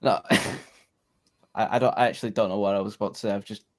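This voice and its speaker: A man with a fairly low voice talks casually as a podcast co-host, reviewing songs. He rambles, swears freely and drifts off mid-sentence. He sounds relaxed and unscripted. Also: quick pace. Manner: rambling, swears, loses his train of thought. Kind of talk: casual chat.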